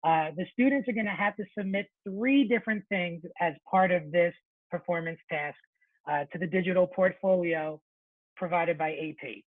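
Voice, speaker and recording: A man presenting an informal webinar for teachers, chatty and relaxed, often correcting himself mid-sentence. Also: high voice, normal speed, no room sound. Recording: thin phone-like webinar audio, dry.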